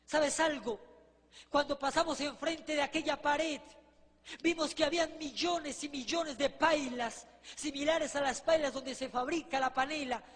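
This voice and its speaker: A man with an extremely high voice delivers a sermon as vivid testimony. His manner is fervent, punctuated by rhetorical questions to his listeners. Long reverberation from a large hall trails his words. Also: a bit bright. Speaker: preacher giving a testimony.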